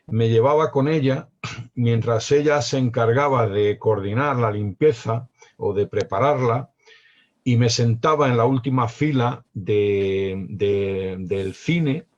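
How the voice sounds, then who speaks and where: A man in his sixties from Spain with a Spanish accent, a poet guest on a panel, speaking in a reflective, hesitant way with many pauses. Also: medium pitch, darkish tone, muffled recording. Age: 60s. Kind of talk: panel discussion.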